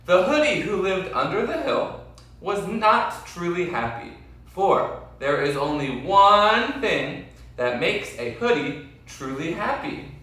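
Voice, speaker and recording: A man reading a children's story aloud expressively, with deliberate, dramatic phrasing and questions to his listeners. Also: high-pitched, very animated, pushed and bright. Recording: very echoey room, distant mic.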